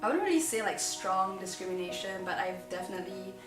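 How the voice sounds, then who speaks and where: A young woman talks casually at a middle pitch, dropping in "like" often. She is recorded from a distance in an echoey room, with music underneath. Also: lively intonation, a little fast. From Malaysia. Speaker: student. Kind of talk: documentary interview answers.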